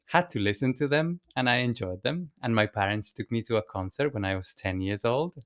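A man's voice: medium pitch, animated, brisk, fairly bright tone. A man, co-hosting a podcast in an easygoing, good-humored chat. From Chile. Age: 30s. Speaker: podcast co-host. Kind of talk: casual chat.

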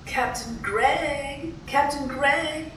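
A woman's voice: high-pitched, drawn-out words, fairly bright tone, distant mic, slight background noise, full character voice. A woman playing a spirited, stubborn young widow in an audio drama, prim and indignant as she stands her ground. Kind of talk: theatrical audio drama acting. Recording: echoey room, off-mic, music behind.

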